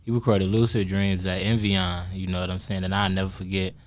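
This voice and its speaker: A young man co-hosting a radio podcast, chatting in a relaxed, slangy, easygoing way. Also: low-pitched, brisk. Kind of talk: casual interview chat.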